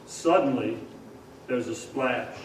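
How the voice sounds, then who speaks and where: A man's voice: medium pitch, takes it slowly, distant mic. A man narrating and announcing in showy, dramatic old-time radio style. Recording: echoey, noisy theater stage from afar.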